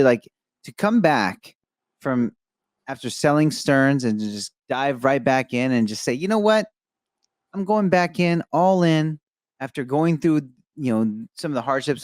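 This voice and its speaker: A young adult man from Egypt hosts a podcast and talks in an enthusiastic, rambling rush, full of fillers and praise. His voice sits at an ordinary male pitch. The recording is dry and close, with no room sound. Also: dry recording. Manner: animated, effusive, rambling with many fillers. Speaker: podcast host. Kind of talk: enthusiastic interview chat.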